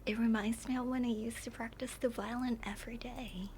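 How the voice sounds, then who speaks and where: A woman voicing a gentle, caring young female game character in a soft, breathy voice for a fan dub. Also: on the high side, steady tone, a little fast, a little hiss or hum, close to an everyday voice. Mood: softly delighted. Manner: gentle, earnest.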